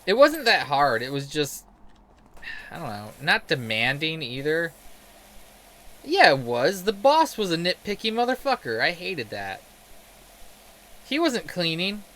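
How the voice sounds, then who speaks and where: A man's voice: a little high, wildly swooping pitch, a little slow, long pauses, a bit bright. A man in a casual, rambling game commentary, sweary, self-deprecating and sarcastic. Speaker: game streamer telling stories while playing.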